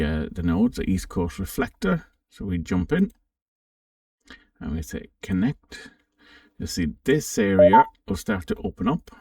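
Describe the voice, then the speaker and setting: A man hosting a casual, informal software walkthrough for an amateur radio channel, thinking aloud a little hesitantly as he goes. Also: deep voice, vocal fry, clean studio sound. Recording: roomy, distant microphone.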